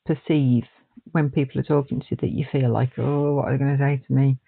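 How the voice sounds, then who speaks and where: A man in a casual conversation, asking gentle, supportive questions and listening closely. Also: medium pitch, fairly even intonation, fast, gravelly, dry recording. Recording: dry, phone-like narrow sound.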